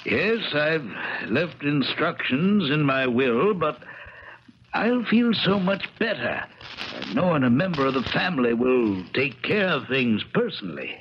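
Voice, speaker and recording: An actor playing an ailing, fond older uncle with a halting, folksy manner in a 1970s radio drama. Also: male voice, on the high side, very animated. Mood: fond, wistful. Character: ailing elderly uncle, fond, evasive, sentimental. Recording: crackly, dull 1970s radio recording.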